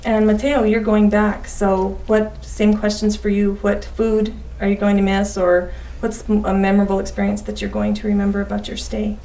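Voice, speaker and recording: A woman's voice: mid-range voice, completely flat delivery, normal speed, few pauses, mellow. A woman hosting an interview, asking friendly questions and encouraging answers with frequent murmured prompts. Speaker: interviewer host. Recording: echoey room, mic far off, faint hiss.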